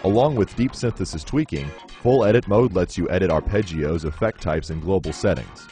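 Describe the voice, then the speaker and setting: A low-pitched male narrator delivers scripted promotional copy with a polished, instructional delivery. Music plays prominently behind his voice. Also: a little fast, mellow. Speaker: product demo narrator. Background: synthesizer music.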